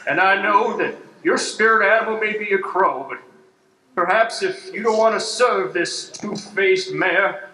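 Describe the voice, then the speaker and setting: A man's voice: high-pitched, unhurried, sharp tone. A man playing a God-fearing gunslinging parson in an improvised Western radio play, declaiming solemnly in folksy frontier phrases on an echoey, noisy stage. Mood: solemn, gracious. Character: God-fearing male gunslinging parson of the Old West, righteous. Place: live improv stage with audience. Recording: echoey stage hall, background noise.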